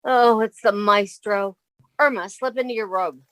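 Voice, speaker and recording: A woman's voice: medium pitch, a little slow, background noise, lightly acted. A woman playing a sensible, exasperated young roommate in a 1950s-style radio comedy. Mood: anxious.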